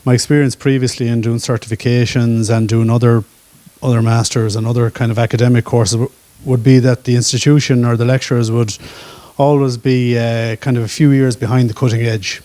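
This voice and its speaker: A man in his thirties talks about his studies at a university event. His voice is dark and mellow and stays fairly level, with many fillers along the way. The sound is dry and close. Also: mid-range voice, a little fast, few pauses, dry recording. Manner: relaxed, hesitant, many fillers. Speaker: current master's student. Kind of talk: panel testimonial.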